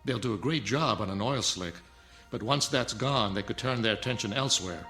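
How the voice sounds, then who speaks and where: An actor playing a stern, arrogant scientist in a film drama, lecturing curtly and defensively. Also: male voice, lowish voice, normal speed, bright, forceful tone, soft background music. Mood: indignant, haughty. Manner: haughty, lecturing, curt. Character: stern arrogant male scientist, defensive, guarding secret nanotech.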